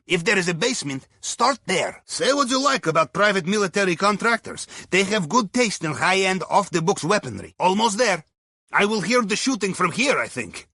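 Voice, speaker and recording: A man's voice: high voice, pushed and bright, breathy edge, no echo, clean studio sound, exaggerated voice. An actor playing a wry, upbeat Russian submarine helmsman in a video game, with a Russian accent and chummy, clipped broken English. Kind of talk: scripted game dialogue, mission briefing.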